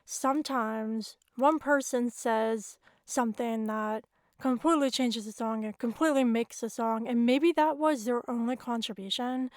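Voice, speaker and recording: A woman's voice: fairly high pitch, unhurried, no room sound, studio quality. A woman hosting a songwriting podcast, chatting with her guest in a friendly, agreeing way. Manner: friendly, agreeing, laughs often. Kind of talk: casual interview chat.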